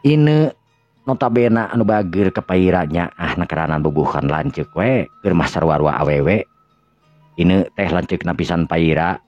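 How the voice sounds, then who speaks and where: A man with a Sundanese accent and a gravelly, husky voice, narrating a tale in the manner of a radio storyteller. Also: deep voice, normal speed, a little mellow. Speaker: storyteller and channel host. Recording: dull lo-fi recording with music. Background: music.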